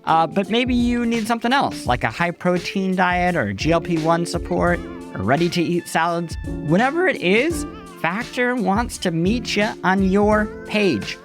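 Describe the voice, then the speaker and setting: A male podcast host with a slightly high voice and very lively intonation that swings up and down. He delivers scripted narration clearly and with emphasis, with music audible at times behind him. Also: measured pace.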